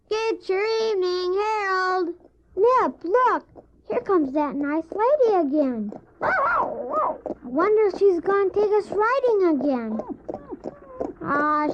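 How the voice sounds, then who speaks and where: A very high-pitched, trusting young newsboy played by a woman in an audio drama. The delivery is unhurried and earnest, with wide-eyed wonder. The recording is muffled with faint noise. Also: heavily acted. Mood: wistful, hopeful.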